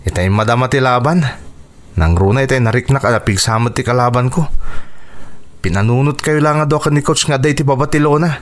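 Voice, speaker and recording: A man's voice: mid-range voice, fairly quick, lightly acted. A man playing a determined, grateful young boxer in a radio drama, speaking earnestly and expressively. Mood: grateful, relieved.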